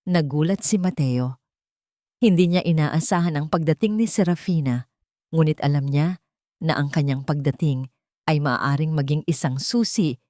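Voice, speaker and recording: A woman's voice: deep voice, warm tone, no echo, clean studio sound. A woman reading a scripted dramatic audio story as its narrator.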